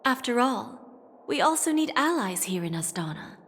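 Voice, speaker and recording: A woman playing a shrewd, composed corporate negotiator in a video game, speaking with deliberate, assured phrasing and quiet menace. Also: fairly high pitch, sing-song. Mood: coolly threatening. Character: cool, shrewd female corporate negotiator, calmly threatening. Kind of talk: dramatic video game voice acting.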